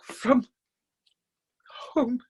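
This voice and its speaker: An actress performing a monologue as a chatty, dryly amused life-drawing model who confides in the listener with hesitant, conversational asides. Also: female voice, very slow, darkish tone. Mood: wistful. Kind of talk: theatrical monologue in a staged reading. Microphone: video call.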